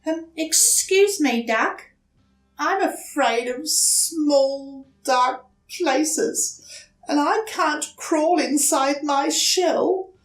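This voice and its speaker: A woman's voice: high voice, very slow, soft background music, pitched above the performer's own voice. A woman voicing a timid, polite young turtle boy in a children's storybook, with a hesitant, pleading manner. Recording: roomy echo, distant mic.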